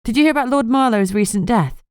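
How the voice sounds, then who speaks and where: A woman's voice: fairly high pitch, quick pace, clear, resonant tone, no echo, pitched above the performer's own voice, natural voice. A determined woman investigator, acted by a female narrator, talks bluntly and gets straight to business. The audiobook sound is dry and close. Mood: brisk. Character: brusque, determined female investigator questioning her informant. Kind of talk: audiobook character dialogue.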